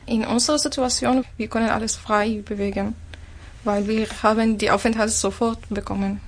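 A woman's voice: fairly high pitch, steady tone, normal speed. A woman from Syria with an Arabic accent, answering as an interview guest in non-native phrasing. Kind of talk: personal talk in a radio interview.

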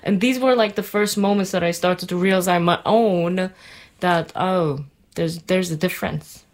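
A woman's voice: fairly low pitch, moderate pace, a little mellow. A woman chatting casually as a talk show guest, informal and often searching for words. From Iran. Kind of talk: casual conversational chat.

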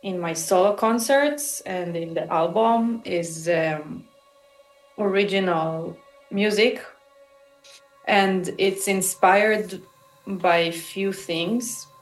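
A woman's voice: medium pitch, roomy sound, poor audio. A woman with an Israeli accent answering interview questions as a musician, reflective and searching for words as they go. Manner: halting, searching for words, pausing mid-phrase. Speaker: musician and composer interviewed about their work.

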